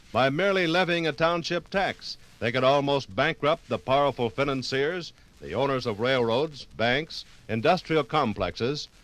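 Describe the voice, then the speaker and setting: A man's voice: medium pitch, a bit bright, rough recording. A man narrating a scripted, dramatic 1950s radio documentary.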